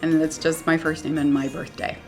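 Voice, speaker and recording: A woman's voice: low voice, level tone, brisk, soft background music. A woman from Kansas, a site community assistant, telling her story in a chatty, easygoing way. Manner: chatty, laughs easily. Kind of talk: interview answers.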